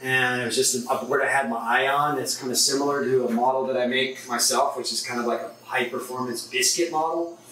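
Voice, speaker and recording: A man's voice: medium pitch, steady tone, fairly quick, bright, forceful tone. A middle-aged man from San Diego, a surfboard shaper, talking casually and rambling with fillers as he reviews a board in his workshop. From San Diego, California. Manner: loose and rambling, many fillers and restarts. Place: surfboard workshop room, indoors. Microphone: camera mic from a few meters away. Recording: echoey room, distant camera mic, faint noise.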